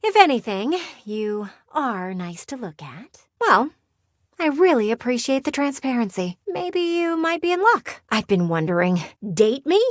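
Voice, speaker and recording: An actress voicing a playful, sardonic living window in a video game, with a teasing, theatrical and blunt delivery. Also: female voice, on the high side, very animated, dry recording, studio quality. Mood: flirty, teasing. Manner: teasing, theatrical, blunt, sighs and drawn-out words. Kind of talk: video game character voice acting.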